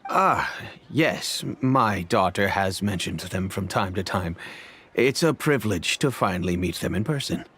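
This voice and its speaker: A man's voice: low-pitched, animated, a bit bright, husky, heavily acted. A man playing a dignified middle-aged father and family head in a video game, speaking formally and solemnly. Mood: courteous. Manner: formal, grave, measured. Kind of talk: video game character acting. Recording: music behind the voice.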